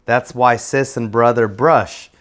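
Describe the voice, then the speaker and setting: A man's voice: medium pitch, steady tone, slow pace, a bit bright. A man narrating a children's storybook aloud as a guest reader.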